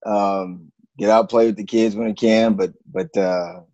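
A man, a basketball coach, talking casually in an interview, relaxed and halting with many fillers. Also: lowish voice, dry recording, highs cut off.

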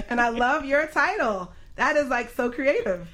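Woman, radio host, business coach, somewhat high pitch, casual, chatty, emphatic. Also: sing-song, measured pace, a bit bright. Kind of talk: casual talk radio hosting.

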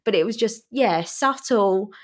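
A woman co-hosts a relaxed music podcast. She talks in a chatty, eager way and laughs often. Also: medium pitch, a little slow. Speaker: podcast co-host. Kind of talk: casual music review chat.

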